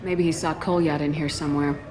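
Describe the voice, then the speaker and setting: Brisk, low-voiced female space soldier, acted by a woman. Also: steady tone, background noise, natural voice. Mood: focused. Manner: terse, assured, businesslike. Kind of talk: video game dialogue.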